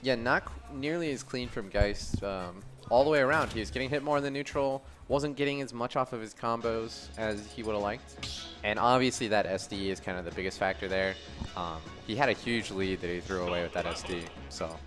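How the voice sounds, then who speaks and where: A man's voice: lowish voice, brisk, music in the background. A man giving casual, analytical live commentary on a video game match, conversational and reacting to the plays.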